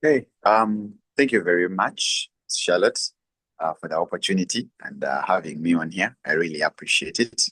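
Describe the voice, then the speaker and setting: A young man with a Ugandan accent answers interview questions in a very deep voice. The recording is dry and close, and he talks hesitantly, leaning on um fillers as he explains. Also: lively intonation, normal speed, a bit bright, dry recording, poor audio. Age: young adult. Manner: hesitant, many um fillers, laughs lightly. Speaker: guest, lawyer and freelancer. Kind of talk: conversational interview.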